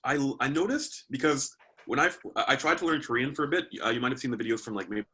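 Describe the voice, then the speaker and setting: A man's voice: mid-range voice, lively intonation, fast talker, bright, forceful tone, slight background noise. A man from Canada, a guest in a casual interview, speaking thoughtfully and hesitantly with frequent ums.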